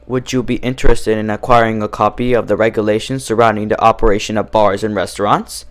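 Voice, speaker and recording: A man's voice: lowish voice, steady tone, fast, natural voice. A man voicing an officious male pony bureaucrat in a cartoon story, formal and politely condescending. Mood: smug, officious. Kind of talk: cartoon fan fiction character reading.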